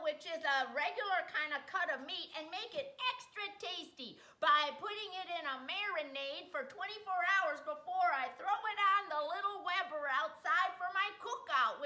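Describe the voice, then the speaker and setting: A woman's voice: extremely high pitch, bright, forceful tone, echoey room. A chatty, folksy woman from Wisconsin, hosting a comedic home cooking demonstration in her kitchen. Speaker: host.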